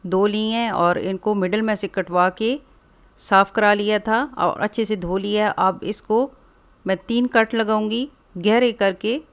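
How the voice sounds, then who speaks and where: Woman, from Pakistan, cooking channel host, calm instructive voice-over, mid pitch. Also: unhurried. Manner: calm, instructive, explains each step plainly. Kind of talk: step-by-step cooking tutorial voice-over.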